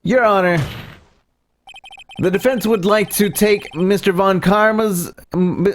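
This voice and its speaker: A man's voice: high-pitched, slow pace. A man voicing an earnest, stubborn young defense lawyer in a courtroom video game, speaking insistently. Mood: determined. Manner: declarative, insistent. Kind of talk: voiced playthrough of a courtroom game.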